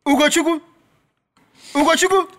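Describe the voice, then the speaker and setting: An actor playing a stern, suspicious professor uncle with a Nigerian accent, scolding and questioning in a radio drama. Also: male voice, very high voice, fairly even intonation, moderate pace, a bit bright. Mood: alarmed. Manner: scolding, interrogating, commanding.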